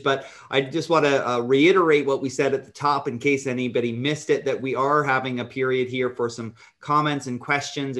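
A man in the middle pitch range, moderating an online meeting. He stops and restarts often and fills gaps with ums and uhs, speaking over a video call. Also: fairly bright tone. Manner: halting, many fillers and restarts. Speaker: host.

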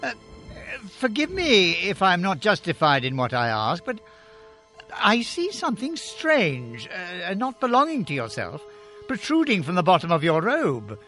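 An actor playing a fearful, pleading old miser in a radio drama, halting and stammering. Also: male voice, high-pitched, huge pitch swings, bright, forceful tone, heavily acted, low-quality recording. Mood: anxious, shocked. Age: older. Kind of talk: theatrical radio drama acting. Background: music.

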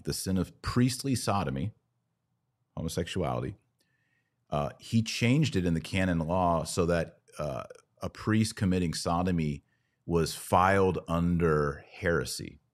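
A man hosting a conversational religious commentary podcast, opinionated and informal in manner. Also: deep voice. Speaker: podcast host.

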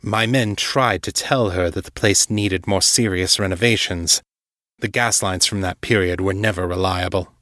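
A man voicing a powerful, condescending vampire lord in his fifties, formal and unhurried, in an audiobook drama. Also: sits low, steady tone, fairly bright tone, no room sound, clean studio sound. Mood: grave, measured. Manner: formal, unhurried, smoothly chiding.

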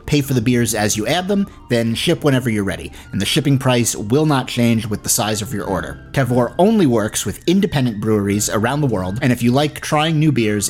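A man hosting a podcast, presenting and interviewing, somewhat halting when he speaks off the cuff. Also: mid-range voice. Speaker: podcast host.

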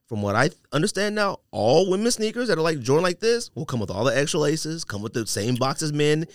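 A man's voice: on the high side, very animated, a little fast, no echo, clean studio sound. A man hosting a casual podcast chat about sneakers and sports, opinionated and slangy. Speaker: podcast host. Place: small podcast studio, indoors.

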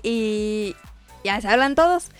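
A woman's voice: medium pitch, lively intonation, slow, smooth, faint music behind. A woman with a central Mexican accent, co-hosting a podcast in a playful, slangy, teasing way. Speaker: podcast co-host. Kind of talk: casual chat.

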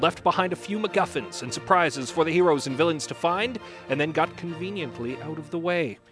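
A man giving a mock-dramatic, emphatic reading of an encyclopedic article, swinging between hushed and booming. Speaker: podcast host.

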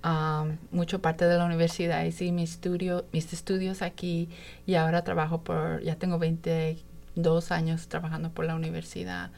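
Middle-aged woman, low-ish gravelly voice, relaxed bilingual chatter. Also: normal speed. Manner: relaxed, slips into English. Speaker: university staff. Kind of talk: radio guest conversation.

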